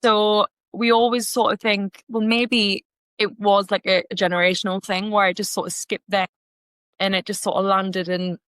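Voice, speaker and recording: A woman's voice: medium pitch, level tone, moderate pace, a bit bright, clean tone, no room sound. A young woman with a Geordie accent from the North East of England, chatting as a podcast guest with lots of restarts and fillers. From Gateshead, North East England. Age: young adult. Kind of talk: casual interview chat.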